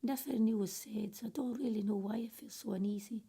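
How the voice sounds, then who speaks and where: A woman playing a wry, weary professional woman in a radio play monologue, confiding drily in a husky, breathy, creaky voice. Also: on the high side, fairly even intonation, brisk, warm tone. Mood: uneasy.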